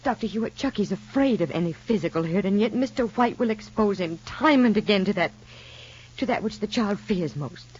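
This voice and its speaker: A woman's voice: medium pitch, animated, a little fast, a little mellow, breathy edge. An actress playing an earnest, worried mother in a 1950s soap opera, speaking carefully and formally. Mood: anxious, troubled. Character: worried, earnest mother, a troubled wife seeking advice. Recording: muffled vintage broadcast recording.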